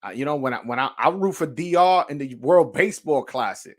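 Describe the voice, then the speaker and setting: A man's voice: mid-range voice, animated, brisk. A man with a New York accent hosting a boxing livestream, chatting casually, swearing and stammering through restarts. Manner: slangy, profane, lots of stammered restarts. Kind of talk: casual livestream chat, reacting to viewer comments.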